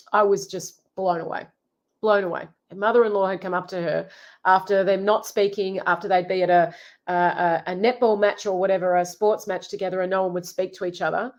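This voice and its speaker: A middle-aged woman relationship coach hosting a live Q&A, chatty and full of ums and restarts, with a head cold. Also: lowish voice. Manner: chatty, warm greetings, frequent ums and restarts. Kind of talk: live Q&A chat.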